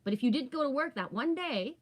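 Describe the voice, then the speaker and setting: A woman's voice: sits high, lively intonation, quick pace. Woman around 50 doing a casual spiritual livestream, rambly and emphatic, keeps checking in with listeners. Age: about 50. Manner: candid, swears casually. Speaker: livestream host.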